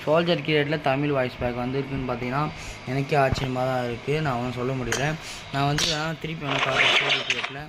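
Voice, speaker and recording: A man's voice: medium pitch, fairly even intonation, quick pace. A man giving a casual, insistent, repetitive game tutorial over his play. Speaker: gaming video creator. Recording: very noisy home recording with clicks.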